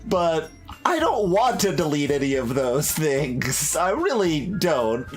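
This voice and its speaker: A man's voice: a little high, big pitch swings, slow pace, low-quality recording. A young man giving commentary on a game, musing aloud with many fillers and restarts. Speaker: gaming YouTuber. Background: soft game music.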